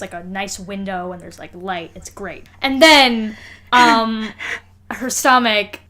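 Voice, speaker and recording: A woman's voice: medium pitch, bright, forceful tone. A woman co-hosting a casual comedy podcast, wry and jokey, apt to break into laughter as she talks. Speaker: podcast co-host.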